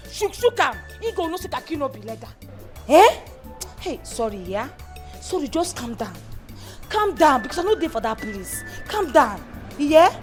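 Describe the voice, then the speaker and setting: A woman playing a quick-tempered madam, scolding in Nigerian Pidgin with a Nigerian accent and laughing asides. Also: extremely high pitch. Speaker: employer scolding her house help. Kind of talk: comedy skit acting.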